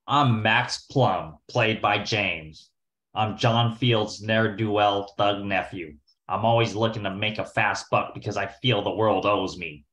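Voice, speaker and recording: Man playing a low-voiced, resentful thug. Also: fairly even intonation, unhurried, a bit bright. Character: resentful, ne'er-do-well young thug. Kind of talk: amateur radio play acting. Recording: echoey room.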